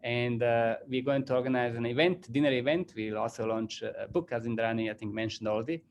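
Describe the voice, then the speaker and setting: A man's voice: mid-range voice, brisk, dull audio, slight background noise. Earnest man with a Croatian accent. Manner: earnest, repeats and restarts phrases. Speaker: business school founder. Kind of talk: formal welcome address to a panel.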